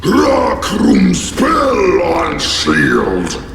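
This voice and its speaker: Slow, creaky monster with wildly swinging pitch. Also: male voice, wildly swooping pitch, fairly bright tone, heavily acted. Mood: agitated, pleading. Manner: broken, clipped speech in grunted fragments. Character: crude monstrous creature bargaining for human skulls. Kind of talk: fantasy game character acting. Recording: roomy, noisy game cutscene audio.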